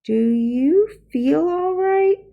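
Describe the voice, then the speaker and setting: Woman reader playing female schoolteacher, storybook, firm, concerned, stern questioning, measured, unhurried. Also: very high-pitched, animated, creaky, narrow, tinny audio, pitched above the performer's own voice, heavily acted. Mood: slow, concerned. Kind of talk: children's storybook character voice.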